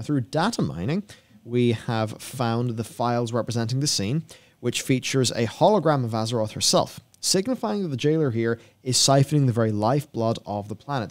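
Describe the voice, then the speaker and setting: A young man with a mid-range voice co-hosts a gaming show. He reads from notes and drops into casual, off-the-cuff commentary, speaking close on a studio microphone. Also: medium pitch. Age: young adult. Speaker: co-host of a gaming news show. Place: small indoor studio with acoustic foam panels. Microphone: broadcast mic on a boom arm, close.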